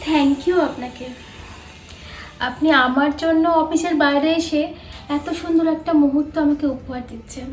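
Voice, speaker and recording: A woman's voice: high voice, fairly even intonation, moderate pace, lightly acted. A young woman playing a timid, polite office employee in a short-film melodrama, hesitant and deferential. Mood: sweetly polite. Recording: echoey room, distant mic, faint music.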